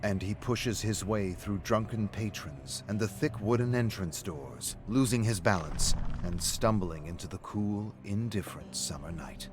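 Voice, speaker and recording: Male narrator, lower register, scripted dramatic game storytelling, music bed. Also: a little slow, few pauses.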